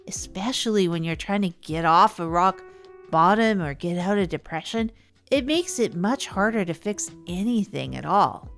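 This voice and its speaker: A woman's voice: medium pitch, close to an everyday voice. A woman playing an awkward, rambling self-help podcast host in a comedy audio drama, hesitant and often repeating themself. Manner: hesitant, repetitive, flustered.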